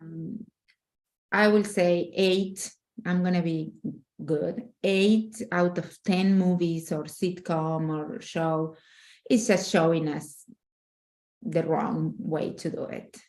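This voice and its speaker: A woman's voice: on the low side, steady tone, takes it slowly, a little mellow. Woman, emphatic relationship coach. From Argentina. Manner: earnest, emphatic, restarts phrases often. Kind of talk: casual interview conversation.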